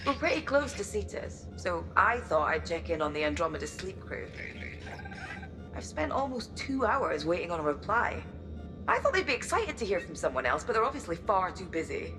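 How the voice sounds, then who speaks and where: A homesick woman aboard a colony ship, chatting affectionately with very lively intonation. Music plays clearly behind her in this game cutscene. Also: lowish voice, moderate pace. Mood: chatty, wistful. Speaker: actor in a video game. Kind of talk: personal message monologue, game acting.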